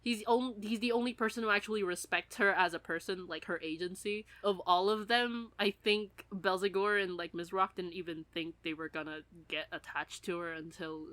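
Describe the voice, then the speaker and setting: A woman with a bright, forward voice, pitched a little low. She co-hosts a casual podcast chat, rambling along with lots of filler words and a giggly streak. The sound is close and dry. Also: fairly even intonation, normal speed, few pauses, dry recording. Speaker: podcast co-host. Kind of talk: casual chat about an audio drama.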